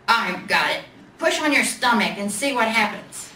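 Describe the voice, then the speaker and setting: A big, gruff toy bear from a children's storybook, acted out by a woman, speaks in blunt, impatient grumbles. The sound is roomy and distant, with some background noise. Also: on the high side, big pitch swings, normal speed, bright, forceful tone. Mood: impatient, demanding. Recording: roomy, noisy, distant library mic.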